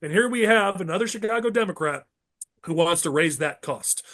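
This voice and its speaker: A man hosting a political panel podcast, moving briskly through agenda items in a partisan tone while losing his voice. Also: high-pitched, animated, fairly bright tone. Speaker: podcast host.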